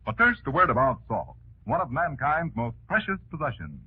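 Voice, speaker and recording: A man's voice: mid-range voice, wildly swooping pitch, normal speed, husky. A man with an American accent announcing and reading advertising in emphatic 1940s radio style. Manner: emphatic sales delivery. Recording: muffled 1940s radio recording.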